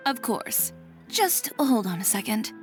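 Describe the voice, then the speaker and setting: A woman's voice: on the high side, sing-song, measured pace. A woman voicing a confident, blunt young woman in an anime game, cool, self-assured and teasing. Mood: brisk. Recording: music behind the voice.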